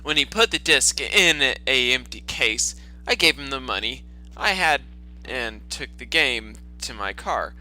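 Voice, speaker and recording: A man's voice: mid-range voice, animated, unhurried, bright, forceful tone, rough recording. A man doing a sarcastic, foul-mouthed dramatic reading with exasperated commentary, his throat affected by a lingering cough. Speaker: host of a storytime reading series.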